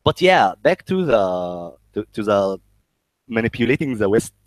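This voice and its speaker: A man's voice: on the low side, sing-song, no echo. A man with an Egyptian accent chatting casually and bluntly, swearing and joking, often stammering over the starts of his words. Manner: halting, stammering with repeated word starts. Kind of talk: casual conversation.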